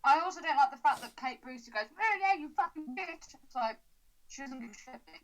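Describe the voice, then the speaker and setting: A woman's voice: sits high, animated, a little fast. Sarcastic, sweary woman. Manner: sarcastic, swears freely, laughs a lot. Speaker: podcast co-host. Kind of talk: casual chat.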